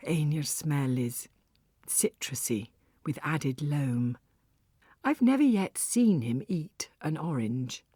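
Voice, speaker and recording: A woman narrating a story in the first person, with an expressive, wry and theatrical delivery. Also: deep voice, takes it slowly, pauses often, breathy edge, dry recording, studio quality. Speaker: audiobook narrator.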